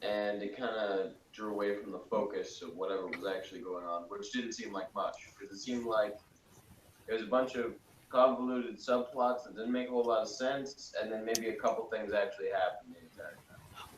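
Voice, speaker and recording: A man's voice: lowish voice, fairly even intonation, moderate pace, a little hiss or hum. A man giving casual critical commentary as a panelist, blunt and dismissive in his manner. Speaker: podcast panelist. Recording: echoey room, far from mic.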